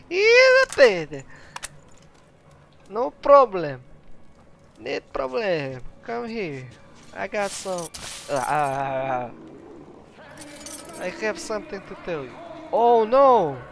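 A man's voice: high-pitched, very animated, takes it slowly, pauses often. A man commentating on his video game in English with a Russian accent, casual, with bits of Russian mixed in. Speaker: gaming streamer or let's-player. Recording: clear background noise. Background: video game sounds.

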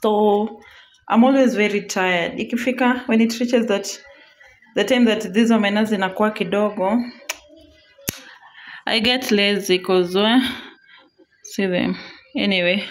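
A woman's voice: mid-range voice, steady tone, unhurried, pauses often. A woman with a Kenyan accent hosting a casual cooking vlog, chatty, repeating phrases and mixing in Swahili words. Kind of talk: casual cooking tutorial chat. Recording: echoey room, clear background noise, clicks.

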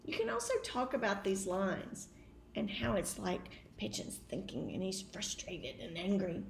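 A woman's voice: mid-range voice, lively intonation, a little slow, vocal fry. A middle-aged woman, a teacher giving read-aloud tips to camera. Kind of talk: instructional talk to camera. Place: home kitchen and dining room. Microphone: camera at table distance. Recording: roomy echo, faint background noise.

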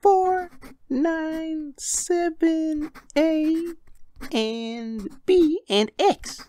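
A woman playing a hesitant little girl with a halting, childlike delivery in a radio drama. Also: sits high, mellow, a little hiss or hum.

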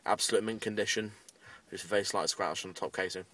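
British male hobbyist vlogger, lowish and very monotone, casual rambling update, slightly off-mic. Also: fast, recorded from a distance. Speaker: amateur radio hobbyist making a video update. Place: inside a parked car.

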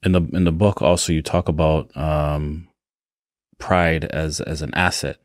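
A man's voice: extremely low pitch, little pitch movement, a little slow, no room sound, studio quality. A man hosting a podcast, asking easygoing, encouraging questions. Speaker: podcast host. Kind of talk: casual interview chat.

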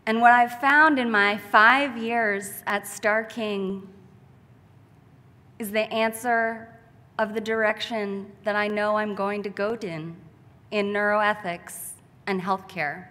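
Slow, heartfelt woman in a reverberant hall. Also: mid-range voice, fairly even intonation, bright, forceful tone. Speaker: graduating student speaker. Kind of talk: commencement speech. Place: large church sanctuary at a podium. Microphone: podium mic, far camera.

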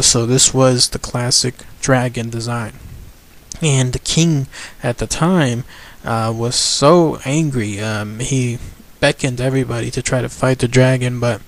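A man, the channel's host, casually narrating a legend over photos in an enthusiastic, rambling way with frequent fillers. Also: medium pitch.

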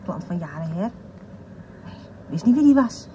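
An actress in a radio drama playing a friendly, plain-spoken waitress reminiscing simply in an interview. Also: female voice, fairly low pitch, animated, unhurried, warm tone. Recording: dull sound, ambient background noise.

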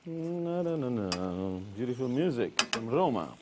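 A man's voice: mid-range voice, sing-song, a little slow, noisy background. A man from Tuscany with an Italian accent, whose first language is Italian, chatting through a cooking demonstration in broken English with Italian interjections. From Tuscany, Italy. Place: kitchen demonstration set.

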